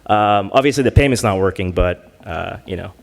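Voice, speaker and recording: A man presenting his hackathon team's project on a conference stage, halting and full of fillers. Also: sits low, creaky. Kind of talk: live pitch presentation. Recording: long reverb from a hall.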